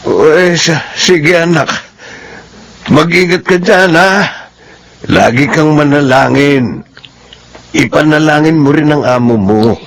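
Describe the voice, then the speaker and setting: A man's voice: fairly high pitch, vocal fry, full character voice. An actor in a Filipino radio drama playing a humble, devout older father who talks in a fatherly, caring way. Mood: anxious, caring. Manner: unhurried, fatherly.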